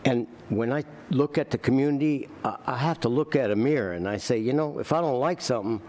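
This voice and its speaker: A man's voice: medium pitch, fairly quick, darkish tone. A man, a sitting council member, speaking plainly and folksily at a candidate forum, often repeating his first words. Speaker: city council member running again.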